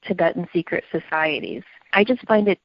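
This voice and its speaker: Inquisitive lower-voiced woman, slightly muffled. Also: fairly quick, no room sound. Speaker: podcast co-host. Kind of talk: conversational podcast discussion.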